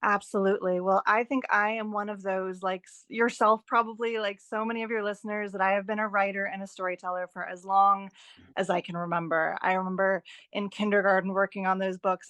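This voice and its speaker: A woman's voice: medium pitch, a bit bright, no echo. A woman speaking as an interview guest, telling her story in an earnest, conversational way with emphatic repeated phrasing. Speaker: interview guest, writer and editor.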